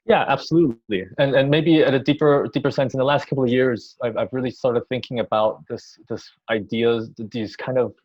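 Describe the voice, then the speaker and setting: A man, born in Taiwan, giving thoughtful interview answers with many pauses, restarts and ums. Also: mid-range voice, fairly even intonation, darkish tone. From Taiwan, raised in the US. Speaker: orchestra music director. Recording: dull lo-fi sound.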